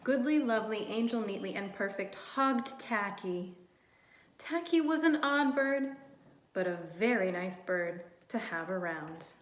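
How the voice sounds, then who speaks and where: A woman reading a storybook aloud to young children, expressively, pausing to put questions to her listeners. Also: mid-range voice, lively intonation. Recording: roomy, echoing sound.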